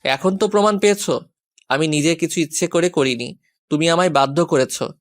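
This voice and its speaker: A man's voice: a little high, a little fast, natural voice. Man acting teasing, grumpy young boyfriend. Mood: defensive. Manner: teasing, mock-annoyed. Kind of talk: romantic audio story acting.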